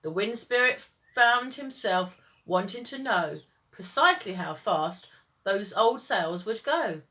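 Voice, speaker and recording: A woman teacher reading children's stories aloud in an expressive, sing-song storytime style. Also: mid-range voice, slow.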